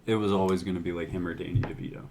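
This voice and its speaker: A man's voice: low-pitched, level tone, rapid-fire, a crowd in the background. A man co-hosting a casual movie podcast, making brief, understated remarks. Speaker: podcast co-host.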